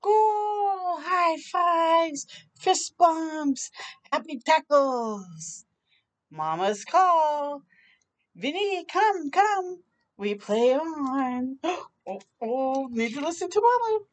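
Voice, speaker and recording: High-voiced woman reading aloud to children. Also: big pitch swings, very slow. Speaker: children's storytime host. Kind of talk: animated read-aloud with chatty asides for children. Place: outdoor balcony near a park.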